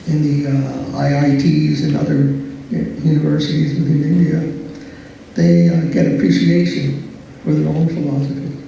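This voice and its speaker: A soft-spoken man giving a philosophy lecture in a slow, hesitant way, full of fillers and restarts. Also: a little high, creaky, slight background noise. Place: large echoey lecture hall. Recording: echoey hall, speaker far from mic.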